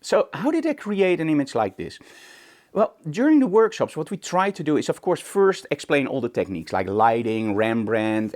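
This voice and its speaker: Animated man giving a tutorial. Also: mid-range voice. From Netherlands. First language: Dutch. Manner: emphatic, conversational, rhetorical questions. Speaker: photography instructor, show host. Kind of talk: informal tutorial talk to camera.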